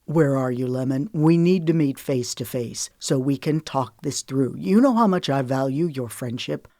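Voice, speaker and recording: A woman's voice: very deep voice, fairly even intonation, moderate pace, darkish tone, no echo, clean studio sound. A woman voicing a manipulative man who speaks in a falsely soothing, condescending tone.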